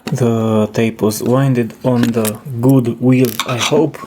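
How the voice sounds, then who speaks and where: A man narrating a hands-on repair in a casual, halting way, thinking aloud as he works. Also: mid-range voice, fairly even intonation, background noise. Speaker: host demonstrating a cassette repair.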